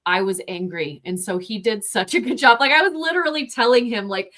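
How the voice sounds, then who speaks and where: A woman's voice: a little high, a little fast. Chatty woman film reviewer. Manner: chatty, lively, with many fillers. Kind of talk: casual interview chat.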